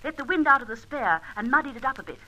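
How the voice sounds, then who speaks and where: An actress playing a composed, resourceful female accomplice in an old radio drama, speaking in a crisp, understated way. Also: high-pitched, animated, quick pace, pushed and bright, exaggerated voice, low-quality recording. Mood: cool, pleased.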